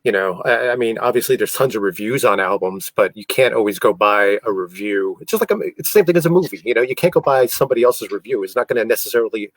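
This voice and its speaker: A man's voice: fairly low pitch, lively intonation, fast, few pauses, dry recording. A man co-hosting a casual podcast, talking his point through plainly. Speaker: podcast co-host, DJ. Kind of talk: casual chat.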